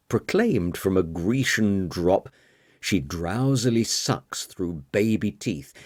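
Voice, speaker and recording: A man's voice: low voice, a little mellow, no room sound, studio quality. A man narrating a literary audiobook in a dramatic style.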